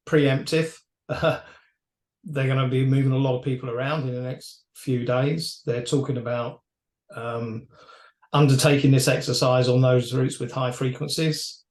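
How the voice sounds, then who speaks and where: A man with a mid-pitched voice speaks in a roomy, echoey space. He gives opinionated industry commentary in a conversational way, with a wry edge. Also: a little mellow. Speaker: aviation expert commentator.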